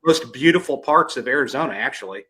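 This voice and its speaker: Male podcast host, bright tense voice, casual and stammering. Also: mid-range voice, expressive, fast talker. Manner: stammering, repeats words.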